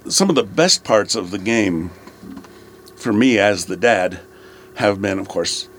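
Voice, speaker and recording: A man's voice: fairly low pitch, expressive, measured pace, a bit bright, slight background noise. A middle-aged man talking casually and conversationally as an interview guest.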